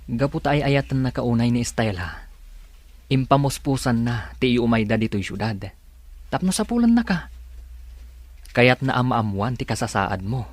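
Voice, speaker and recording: An actor plays a concerned best friend with a medium-pitched male voice, recorded dry and close. He questions and advises candidly, in radio drama style. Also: expressive, normal speed, pauses often, no room sound, natural voice. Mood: concerned, questioning. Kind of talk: Ilocano radio drama acting.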